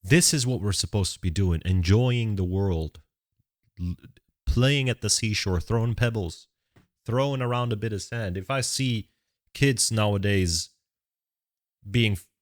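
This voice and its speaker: Low-voiced young man. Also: unhurried, long pauses, dry recording, clean studio sound. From Netherlands. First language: Dutch. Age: 20s. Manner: thinks aloud, restarts words mid-sentence. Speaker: music reaction channel host. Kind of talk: casual reaction commentary.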